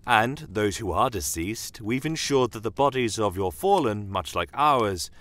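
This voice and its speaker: A man voicing a shrewd, courteous non-human commander in a fantasy audio drama, measured and politely menacing. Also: lowish voice, expressive, a bit bright. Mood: smoothly courteous.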